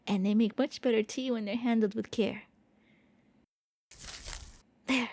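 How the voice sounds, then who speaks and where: A woman voicing a gentle, shy vampire prince in an intimate ASMR roleplay, speaking softly and a little hesitantly. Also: on the high side, fairly even intonation, a little slow, dry recording. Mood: tender. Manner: gentle, hesitant, intimate, stammering when flustered. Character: gentle, shy, eager young-looking immortal vampire prince. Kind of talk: soft ASMR roleplay monologue.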